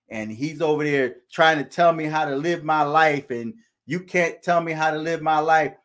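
An older man delivers a scolding solo rant into a desk podcast mic in his home studio. He talks slowly and deliberately, repeating each point to hammer it home. His voice sits a little higher than most men's. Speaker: podcast host.

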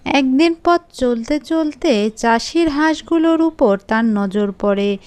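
A woman narrates a children's fairy tale in a high, clear voice. Her scripted storytelling moves slowly, each sentence set out on its own. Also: darkish tone, no echo.

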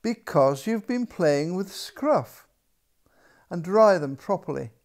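A man's voice: sits high, lively intonation, slow pace, heavily acted. An older man voicing a bossy palace cook in a storybook, giving firm, emphatic orders. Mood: ordering.

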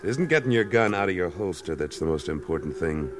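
A man playing a tough, steady cowboy rancher in a terse, hard-boiled 1950s radio western style. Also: low voice, fast talker, background noise. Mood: stern, steady. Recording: old radio recording, faint music.

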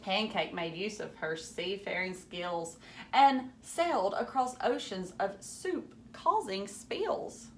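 Slow-paced, expressive woman reading to children. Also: mid-range voice, slight background noise. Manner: animated, dramatic, teacherly. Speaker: storyteller reading a picture book aloud. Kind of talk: expressive children's read-aloud with asides. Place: furnished room at home.

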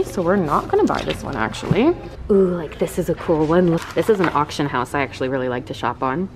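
A young adult woman chatting casually in a vlog, gushing and exclaiming in an excitable way. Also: lowish voice. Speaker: vlogger. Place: indoors, bookstores and an empty room at home. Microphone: handheld camera at arm's length. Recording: noticeable background noise.

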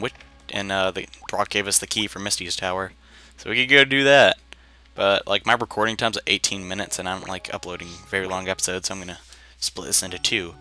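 A man's voice: fairly low pitch, sharp tone. A young man giving casual, rambling game commentary full of slang and jokey asides. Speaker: gaming video host. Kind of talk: casual let's play commentary.

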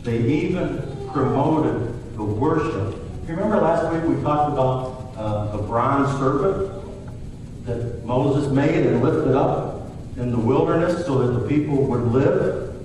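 A man's voice: mid-range voice, slow, darkish tone. A middle-aged man preaching a sermon in a church sanctuary, telling the story in a conversational way and voicing the characters he quotes. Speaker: pastor. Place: church sanctuary, large room. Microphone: far camera at back of church. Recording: distant roomy church recording with hiss.

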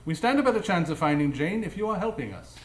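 An actor playing a brisk, analytical gentleman detective, formal and declamatory, in a live radio drama. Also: a little high, big pitch swings. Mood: measured, reassuring. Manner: declamatory, formal, self-assured. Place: live stage before an audience. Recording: echoey live stage recording.

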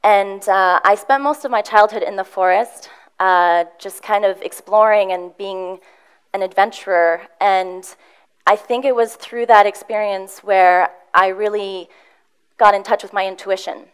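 A young woman from Switzerland tells her own story on a conference stage. She talks earnestly and with feeling, with a very smooth, clear voice, and she often pauses on ums and restarts as she gathers her thoughts. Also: mid-range voice. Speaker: motivational speaker. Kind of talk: conference talk. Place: conference room with audience.

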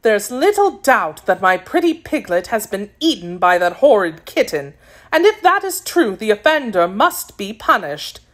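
A woman's voice: mid-range voice, very animated, normal speed. A woman voicing a youthful princess ruler in a children's storybook, formal, composed and firm. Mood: indignant. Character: youthful princess ruler, polite but firmly commanding.

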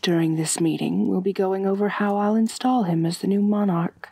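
A woman playing an ancient, wealthy vampire mistress in an intimate ASMR roleplay, genteel and measured, doting yet gently dominant, with a soft breathy voice. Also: mid-range voice, fairly even intonation. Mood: poised, matter-of-fact.

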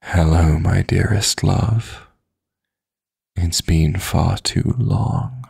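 A man playing a possessive, teasing dream demon lover in intimate sleep-aid roleplay audio, coaxing softly and deliberately in a gravelly, breathy voice. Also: deep voice, full character voice. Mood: tender, flirty.

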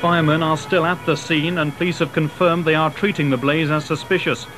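A man's voice: fairly high pitch, steady tone, a little fast, over music. A man reporting the news in a scripted, matter-of-fact voice-over. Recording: old TV news with background noise.

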